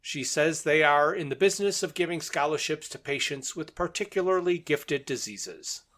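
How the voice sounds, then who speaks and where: A man's voice: fairly high pitch, expressive, normal speed, fairly bright tone. A man co-hosting a podcast, casually recapping and discussing a story with wry, amused asides. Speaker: podcast co-host. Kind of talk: casual book and radio drama discussion.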